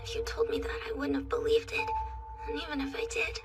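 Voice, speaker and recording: A woman voicing a wounded, fiery young girl in an emotional animated drama, with a raw, expressive delivery. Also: high voice, fairly quick, recorded from a distance, loud music behind the voice. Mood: subdued.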